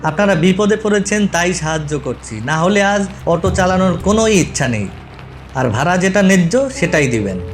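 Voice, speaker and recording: A man's voice: high voice, lively intonation, normal speed, a little hiss or hum, pitched above the performer's own voice. A man playing a blunt, easily frightened auto-rickshaw driver in a cartoon ghost story. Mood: insistent.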